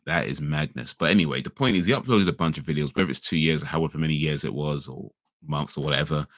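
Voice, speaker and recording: A man's voice: rumbling low, fast, a bit bright, dry recording, studio quality. A man hosting a casual livestream, rambling and correcting himself with laid-back slang, his voice a little crackly today. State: slightly crackly voice. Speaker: livestream host. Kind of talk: casual chat.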